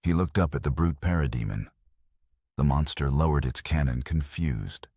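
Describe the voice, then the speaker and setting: A man's voice: very deep voice, normal speed, darkish tone, slightly rough, no room sound, studio quality. A man narrating an action audio novel in a dramatic storytelling style.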